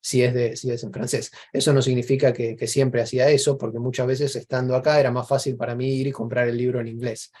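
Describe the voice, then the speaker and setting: A man's voice: medium pitch, level tone, quick pace, few pauses, a little mellow. A man with an Argentine accent in casual, reflective conversation as an interview guest, explaining things discursively with examples.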